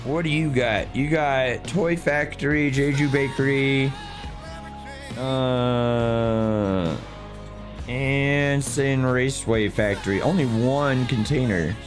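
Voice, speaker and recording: A hoarse man who has nearly lost his voice, chatting casually with viewers and a teammate while livestreaming a game. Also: mid-range voice, slow, music in the background. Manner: chatty, greets viewers by name. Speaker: streamer playing a driving sim. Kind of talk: casual live-stream chat.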